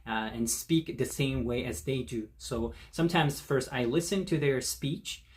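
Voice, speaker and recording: A man hosting his own language-learning channel, who is not a native speaker of Korean, talking slowly and casually to the camera, with many pauses and fillers. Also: medium pitch. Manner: slow, thoughtful, many fillers and restarts. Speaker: channel host.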